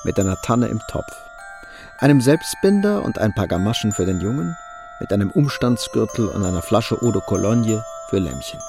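A man's voice: fairly low pitch, sing-song, moderate pace, over music. A man narrating a scripted radio drama as an observant storyteller. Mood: storytelling. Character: observant storyteller narrating a young couple's life.

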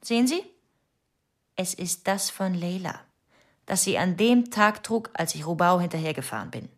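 An actress playing a troubled, haunted wife who confesses to a police inspector in intense, fragmented radio drama narration. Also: female voice, fairly low pitch, expressive, normal speed. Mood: urgent.

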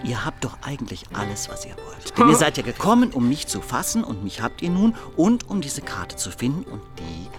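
An actor playing a wily captive Greek monk in a German radio drama, arguing slippery and swearing pompous oaths. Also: male voice, mid-range voice, wildly swooping pitch, fairly quick, few pauses, faint music behind. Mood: pleading, scheming.

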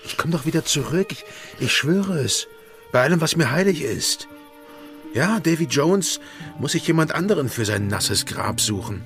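Pirate guy in love, radio play, soft tender intimate talk, bit hesitant, faint music behind. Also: on the high side, animated, moderate pace. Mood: tender, reassuring. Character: Jack, amorous, easygoing pirate quartermaster and devoted lover. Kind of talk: German radio drama acting.

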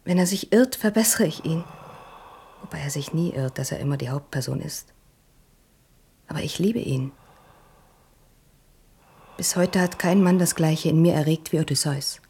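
An actress playing a self-assured young princess in a radio play, cool, teasing and frank about love. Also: female voice, normal speed, long pauses, mellow, full character voice. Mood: lovestruck, insistent. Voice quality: low, husky. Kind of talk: German radio play acting.

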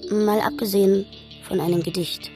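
An actress playing a reflective woman who narrates her memories in a measured radio drama style. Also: mid-range voice, steady tone, close to an everyday voice. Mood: pensive. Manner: measured, reflective, hushed at times. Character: reflective woman narrator recalling a film shoot. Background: faint music.

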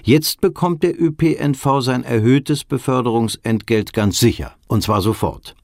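A man's voice: medium pitch, normal speed, no echo, clean studio sound, natural voice. A man playing a matter-of-fact radio reporter, delivering scripted documentary narration in a radio play. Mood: explanatory, assured. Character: matter-of-fact male radio reporter explaining a new system.

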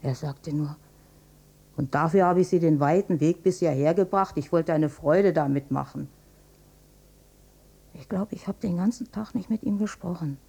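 A woman's voice: deep voice, normal speed, pauses often, warm tone, slightly rough. An actress playing a weary, troubled German mother recalling her wartime marriage in an intimate, plain-spoken radio drama monologue. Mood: bitter, disgusted.

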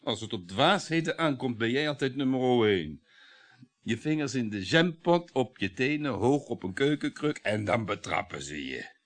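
A man's voice: medium pitch, very animated, moderate pace, lightly acted. A man acting a domineering, middle-aged husband in a Dutch radio play, talkative and sarcastic, needling with sardonic chuckles.

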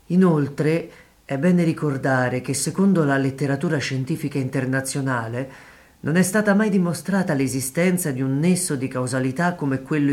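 A man's voice: mid-range voice, a little mellow, natural voice. A man playing a formal, defensive church radio director, reading out an official statement in documentary theatre.

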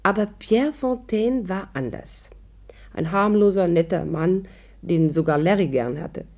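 A woman's voice: lowish voice, unhurried, a little mellow. An actress playing a witty, persuasive worldly woman with a playful, wry manner, in a 1950s radio drama. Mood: wry narration. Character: witty, persuasive, worldly female adventurer telling her story. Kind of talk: 1950s German radio drama acting and narration.

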